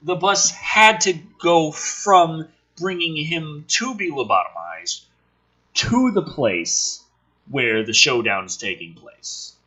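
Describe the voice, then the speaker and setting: A man with a slightly rough voice reading a script aloud dramatically, dropping in sardonic, profane asides. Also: on the high side, lively intonation, takes it slowly, pushed and bright, poor audio. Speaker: host reading a film script aloud with commentary.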